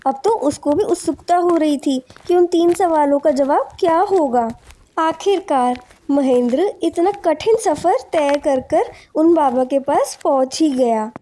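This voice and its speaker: Very high-pitched woman storyteller. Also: measured pace, warm tone. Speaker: narrator. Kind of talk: scripted storybook narration.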